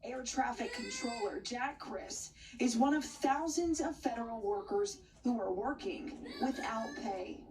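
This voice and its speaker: A woman delivers scripted news narration as a reporter. The sound is a little distant from the microphone, with clear background noise behind her voice. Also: a little high, expressive, a little slow, a little mellow.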